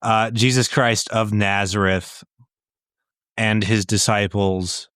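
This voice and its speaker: A man's voice: on the low side, steady tone, slow pace, fairly bright tone, smooth, no echo, studio quality. A man co-hosting a comedy podcast, talking casually with his friends. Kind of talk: casual chat.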